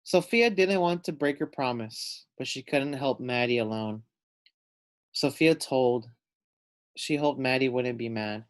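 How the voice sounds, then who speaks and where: A man's voice: mid-range voice, a little slow. A man reading a children's storybook aloud, adding simple explanatory asides for young listeners. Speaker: storybook reader.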